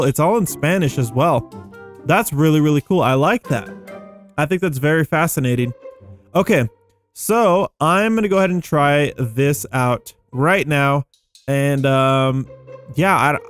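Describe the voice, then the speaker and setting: Young male gaming host, higher-pitched, casual and easily impressed, rambling commentary with faint music behind. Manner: easily amazed, rambling, thinking aloud.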